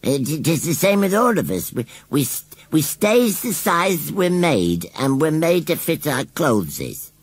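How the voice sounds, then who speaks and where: A man voicing a sulky, proud old scarecrow in a storybook, with a rural English accent and grumbling, drawn-out speech. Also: a little high, very animated, husky, no echo, pitched above the performer's own voice, exaggerated voice. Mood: indignant.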